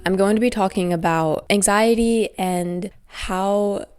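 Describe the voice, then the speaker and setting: A woman's voice: medium pitch, a little slow, a little mellow, smooth. A young woman hosting her podcast alone, talking in an earnest, grateful, reflective way while stuffy and congested from a cold. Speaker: podcast host. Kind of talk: casual solo podcast talk.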